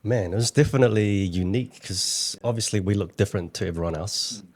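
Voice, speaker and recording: Low-voiced New man chatting casually. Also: fast. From New Zealand. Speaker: interview guest, content creator. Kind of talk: casual chat.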